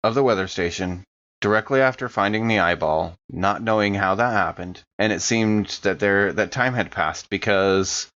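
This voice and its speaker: A man playing a shaken, apologetic survivor in an improvised horror audio drama, speaking haltingly. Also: lowish voice, fairly bright tone, dull audio, close to an everyday voice. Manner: halting, hesitant.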